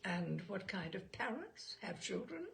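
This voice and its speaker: A woman voicing a courteous male questioner who methodically examines a witness in a humorous story, formal and measured. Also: medium pitch, very animated, vocal fry, a bit bright, slight background noise, exaggerated voice. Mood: polite, inquiring. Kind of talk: humorous short story read aloud.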